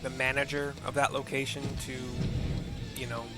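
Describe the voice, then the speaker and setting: A man hosting a gaming video, chatting casually in a halting, rambling way. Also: medium pitch, monotone, over loud music. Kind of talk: casual chat over gameplay.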